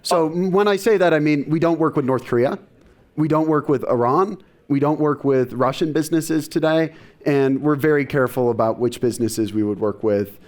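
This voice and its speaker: A young man, a startup co-founder on a conference panel, answering in a measured way and reasoning step by step. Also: a little high, darkish tone. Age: young adult. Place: conference stage before an audience.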